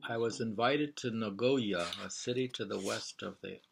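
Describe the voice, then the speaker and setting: A man reading poetry and travel prose aloud informally, in a halting, deliberate way. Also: fairly low pitch, vocal fry, background noise. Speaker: reader of a poetry travel sketch.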